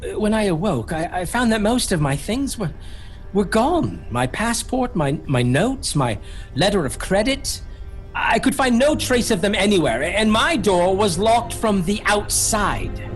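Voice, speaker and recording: An actor playing a nervous English gentleman held captive in a horror audio drama, halting and stammering as he speaks. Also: sits high, big pitch swings. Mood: anxious. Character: anxious English gentleman trapped in a vampire's castle. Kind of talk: theatrical horror audio drama narration and acting. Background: music.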